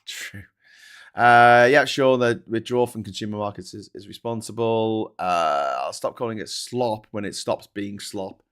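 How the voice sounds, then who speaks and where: A man's voice: on the low side, a little slow, fairly bright tone, smooth. A man hosting a casual morning livestream, reading comments aloud with sarcastic, rambling asides. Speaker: host.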